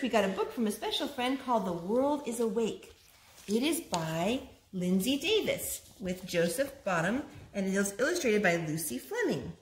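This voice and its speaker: A woman in her thirties reading a picture book aloud to small children in an expressive, singsong way at home. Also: mid-range voice. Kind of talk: storytime read-aloud. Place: living room at home, on a sofa. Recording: roomy, noisy home video recording.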